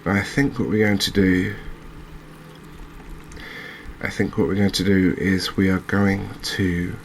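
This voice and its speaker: A man giving gentle, unhurried ASMR commentary as he plays a game, pausing and hesitating as he goes. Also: deep voice, flat delivery, slight background noise. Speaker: host of a gaming channel. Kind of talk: ASMR let's-play commentary.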